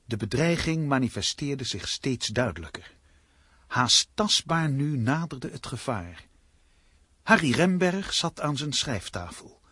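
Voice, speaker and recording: An actor narrating a literary suspense story in a radio drama as a grave man, with ominous, measured phrasing. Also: mid-range voice, sing-song, sharp tone, husky, no echo, clean studio sound, full character voice.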